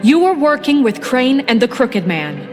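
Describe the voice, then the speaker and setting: An actress playing an earnest, composed town leader who speaks in a measured, persuasive way, in a game. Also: female voice, sits high, big pitch swings, soft background music. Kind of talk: video game voice acting. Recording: game audio over very loud score.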